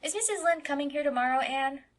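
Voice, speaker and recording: A woman's voice: expressive, fast talker. A woman voicing a mischievous eight-year-old farm boy who speaks bluntly and insistently. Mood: defiant, chattering. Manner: high, loud and insistent childlike delivery.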